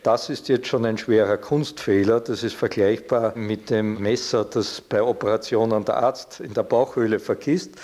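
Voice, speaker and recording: A man with a fairly low voice explains things as an expert being interviewed. His wording is formal and precise, like an academic. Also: fairly even intonation, moderate pace, few pauses, a little mellow. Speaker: expert on psychological testing.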